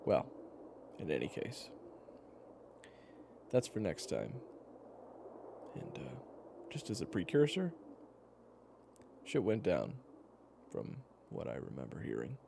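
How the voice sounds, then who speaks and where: The gravelly man playing the weary protector in an audio roleplay, spinning wry, halting tales to a companion in a shelter full of background noise. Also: sits low, wildly swooping pitch, heavily acted. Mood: wry, reminiscing. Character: weary, protective male storyteller survivor, teasing and patient. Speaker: character narrator. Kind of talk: conversational in-character storytelling, audio roleplay. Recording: clearly noisy background ambience.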